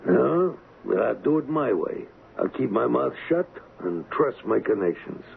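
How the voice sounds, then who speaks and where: Gravelly, cocky street-tough gangster. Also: male voice, medium pitch, sing-song, a little slow, phone-quality sound, exaggerated voice. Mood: sardonic, defiant. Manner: slangy, taunting, rough grammar. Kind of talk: old-time radio crime drama acting. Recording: thin, band-limited, hissy old radio recording.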